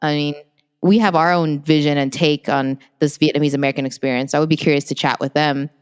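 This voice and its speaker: A young adult woman co-hosting a podcast, reflecting on family memories in an unhurried, casual way. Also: sits low, fairly even intonation, clean tone, long reverb tail. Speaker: podcast co-host. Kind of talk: casual chat.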